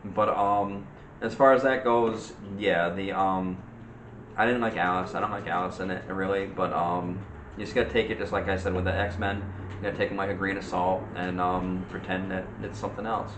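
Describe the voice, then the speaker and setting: A man's voice: sits low, flat delivery, normal speed. A man co-hosting a movie discussion, chatting casually and often searching for his words. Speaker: co-host of a movie discussion show. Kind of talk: casual chat. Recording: roomy echo, faint background noise.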